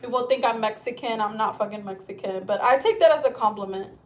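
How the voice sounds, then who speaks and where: A woman's voice: fairly high pitch, quick pace. A woman in her thirties, from Chile, chatting casually on a livestream from home, rambling and swearing freely. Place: at home, indoors.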